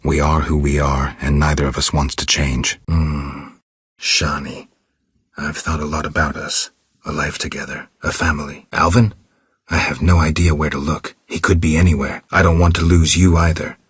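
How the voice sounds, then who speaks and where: An actor playing a gruff, world-weary monster hunter in a video game, terse and deadpan, with a gravelly, husky voice. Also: male voice, moderate pace, dry recording, clean studio sound, heavily acted. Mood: tender, regretful. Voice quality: very deep, gravelly, husky rasp. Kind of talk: video game dialogue acting.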